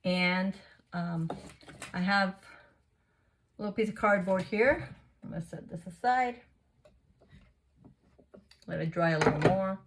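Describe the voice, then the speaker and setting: Chatty crafter woman, a little hoarse. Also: lowish voice, slow pace, pauses often, a little hiss or hum. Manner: chatty, narrates each step, gushes over results. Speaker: craft video host. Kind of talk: casual craft tutorial.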